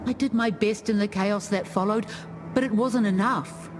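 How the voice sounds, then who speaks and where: A woman playing a proud, wise ancestral chieftain in a video game, speaking in measured, dignified storytelling. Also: medium pitch, music in the background, close to an everyday voice. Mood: solemn, reflective. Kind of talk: scripted game character monologue.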